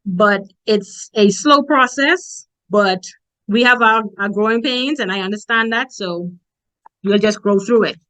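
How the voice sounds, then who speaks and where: A woman's voice: medium pitch, a little slow, sharp tone, poor audio. A woman from the Bahamas with a strong Bahamian accent, giving a direct, emphatic motivational presentation as a conference speaker.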